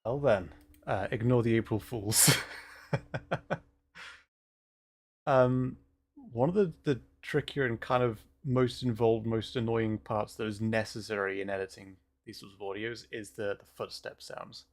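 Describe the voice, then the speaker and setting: A man hosting a casual listen-along, commenting on his own audio work in a chatty, halting way. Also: fairly low pitch, fairly even intonation. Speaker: audio roleplay creator and host.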